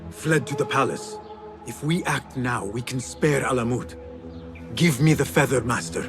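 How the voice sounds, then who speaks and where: An intense young assassin apprentice, played by a male actor in a game drama. His mid-pitched voice is earnest and resolute, with music clearly behind it. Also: normal speed, close to an everyday voice. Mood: urgent. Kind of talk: dramatic video game acting.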